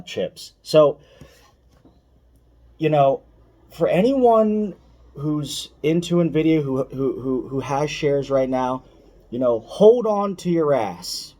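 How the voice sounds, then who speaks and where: A man's voice: mid-range voice, dark tone. A man giving casual, opinionated finance commentary for online viewers, speaking emphatically, repeating key points and addressing the listener directly.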